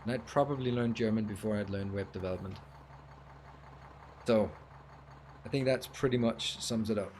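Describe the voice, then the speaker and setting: A man's voice: on the low side, normal speed, pauses often, darkish tone, slight background noise. Chatty man thinking aloud while gaming. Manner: thinking aloud while building, casual and chuckling. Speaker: streamer. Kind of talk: livestream gameplay tutorial, chatting with viewers.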